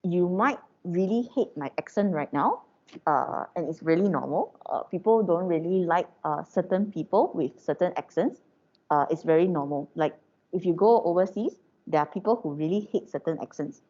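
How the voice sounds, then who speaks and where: A woman with a Malaysian English accent lectures her students in a chatty, informal way, dropping tag questions as she goes. Her voice sits a little low and sounds mellow. The recording is dull and lo-fi. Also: normal speed.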